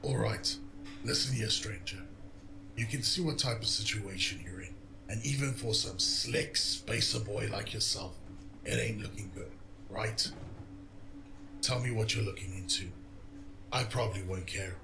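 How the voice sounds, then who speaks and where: A man playing a weary, menacing gang boss in a noir audio drama, measured, commanding and wryly reasonable. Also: fairly low pitch, gravelly, background noise. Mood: controlled threat. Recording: roomy echo with music behind.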